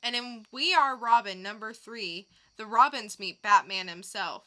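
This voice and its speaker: A woman co-hosting a podcast, reading out scripted teasers in a measured way. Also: on the high side, pushed and bright, rough recording. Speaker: podcast co-host.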